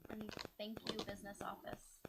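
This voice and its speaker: A woman chairing a school board meeting, calling items and votes in a brisk, procedural way. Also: mid-range voice, level tone, vocal fry, heavy background noise. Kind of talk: running a public meeting.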